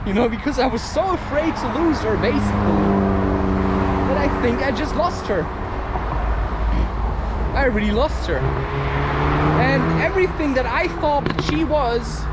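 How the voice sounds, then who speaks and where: A man giving a rambling, confessional, questioning monologue as he walks outdoors. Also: very high voice. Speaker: solo vlogger. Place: outdoors, walking in a public area. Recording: noisy outdoor street ambience.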